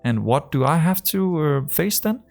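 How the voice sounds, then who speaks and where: A man with a strong Danish accent talks loosely to the camera as a trading coach. His voice is rough and gravelly, and his sentences wander, full of fillers and restarts. Faint music plays underneath. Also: a little high, lively intonation, moderate pace, darkish tone. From Denmark. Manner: rambling, full of fillers and restarts, acts out conversations. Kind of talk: casual talk to camera.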